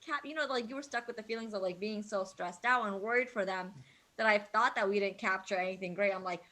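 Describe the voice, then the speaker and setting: A woman chatting casually and telling stories in an animated, emphatic way, peppering her talk with "like". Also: mid-range voice, fast. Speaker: wedding videographer, guest vendor. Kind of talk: casual chat, animated storytelling.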